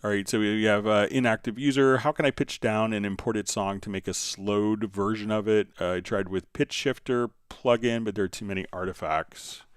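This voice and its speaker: A man hosting a casual live software tutorial, thinking aloud informally as he demonstrates. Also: lowish voice, fairly even intonation, normal speed, hardly any pauses, clear, resonant tone, no room sound, studio quality. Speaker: livestream host, software instructor.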